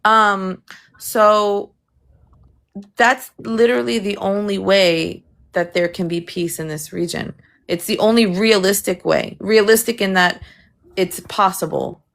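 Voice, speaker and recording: A woman's voice: mid-range voice, vocal fry. A woman arguing insistently in a live online debate, pushing back when interrupted. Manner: insistent, defensive. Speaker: debate participant. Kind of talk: heated political debate.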